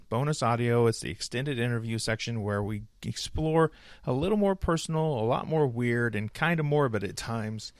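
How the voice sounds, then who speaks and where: A man from the United States hosting a casual podcast interview, upbeat and chatty, quick to laugh. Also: medium pitch, no echo. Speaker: podcast host.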